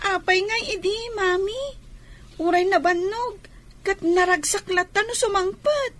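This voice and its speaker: A woman's voice: extremely high pitch, measured pace, fairly bright tone. A woman playing a small child who misses an absent father, in an Ilocano audio drama. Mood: wistful.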